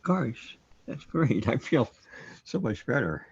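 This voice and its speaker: A man's voice: mid-range voice, sing-song, slow pace, husky, exaggerated voice. An actor playing a troubled, self-doubting man trapped alone in a surreal universe, brooding and questioning in a sci-fi radio drama. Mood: relieved. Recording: dull, lo-fi audio.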